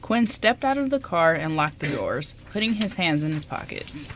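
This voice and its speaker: A woman's voice: sits low, very animated, normal speed, fairly bright tone, slight background noise. A woman reading scripted story narration as the narrator of a radio drama.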